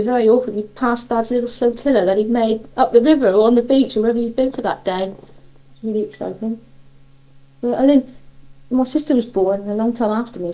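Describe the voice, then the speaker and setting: A woman's voice: a little high, dark tone, poor audio. An older woman from Cornwall with a Cornish accent, casually reminiscing in a chatty, rambling, nostalgic way. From Cornwall, England. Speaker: interviewee sharing childhood memories.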